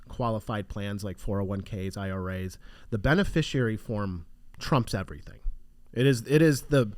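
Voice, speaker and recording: A man co-hosting a finance podcast, raspy from allergies, explaining estate planning in a loose, conversational way. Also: mid-range voice, a little mellow, dry recording. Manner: loose, conversational, restarts often. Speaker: financial advisor co-host. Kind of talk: casual podcast chat.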